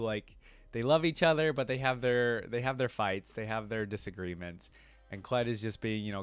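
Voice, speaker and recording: A man's voice: medium pitch, clear, resonant tone, no echo. A man giving informal, casual fan commentary as a reaction video host. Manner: informal, peppered with "like" and "you know".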